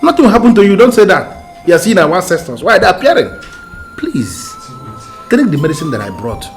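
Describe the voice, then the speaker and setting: A man's voice: medium pitch, sing-song, brisk, a little mellow, faint music behind, lightly acted. An actor playing a man who insistently urges his brother to take a medicine. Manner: insistent, persuasive. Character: middle-aged man, insistent persuading brother. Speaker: uncle, brother.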